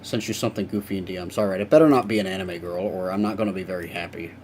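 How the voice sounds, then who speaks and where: A man's voice: low-pitched, very fast, a little mellow, a little hiss or hum. A young man chatting casually with viewers on a live stream while he works, rambling and swearing now and then.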